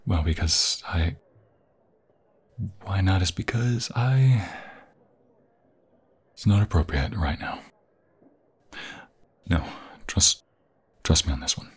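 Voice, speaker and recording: A man playing a tender young vampire fiancé in an intimate ASMR roleplay, with a breathy, husky, often whispering voice and halting, sentimental, poetic phrasing. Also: sits low, lively intonation, full character voice. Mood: tender, hesitant.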